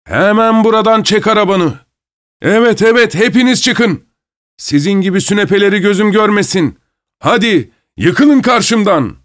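A male narrator plays a drunken, haughty bully from a storybook. He is imperious and insulting, his voice pushed high and theatrical as he taunts everyone. The recording is close and dry. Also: animated, takes it slowly, no room sound. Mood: furious, imperious. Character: drunken, arrogant masked man, bullying and mocking.

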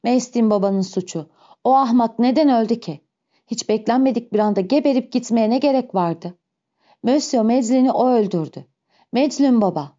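A woman's voice: medium pitch, expressive, moderate pace, mellow, highs cut off, natural voice. A woman voicing a shrewd old male gardener who schemes, then breaks into anguished self-talk with sudden raised cries.

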